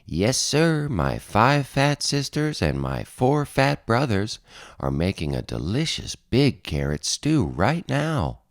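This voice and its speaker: Man as an eager little rabbit, pitched up. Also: sing-song, slow, dry recording, clean studio sound. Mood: polite, proud. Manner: eager, polite. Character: small fat male rabbit, eager, proud, polite. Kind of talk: picture-book character voice.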